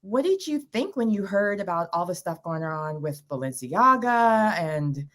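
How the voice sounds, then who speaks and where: A woman hosts a talk show in a chatty, casual way. She drops self-deprecating asides as she moves between topics. Her voice sits fairly low for a woman. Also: big pitch swings, measured pace, fairly bright tone.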